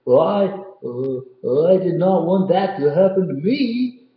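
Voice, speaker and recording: A man's voice: high voice, very animated, unhurried, voice pushed up. A man voicing a tall, cowardly man in a storybook, frightened and shaky. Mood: scared. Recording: roomy, slightly dull recording.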